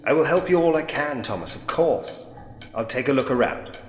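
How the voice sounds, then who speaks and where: An actor playing a sceptical, dryly witty Edwardian gentleman investigator in a point-and-click mystery game. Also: mid-range voice, expressive, moderate pace, close to an everyday voice. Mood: reassuring, sceptical. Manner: wry, muttering to himself, old-fashioned gentlemanly phrasing. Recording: echoey hall reverb, music underneath.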